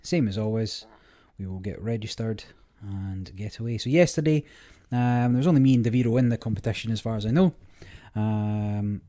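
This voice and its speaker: Slightly croaky man casually commenting gameplay. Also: on the low side, normal speed, hardly any pauses. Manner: relaxed, rambling, many fillers. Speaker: gaming channel host.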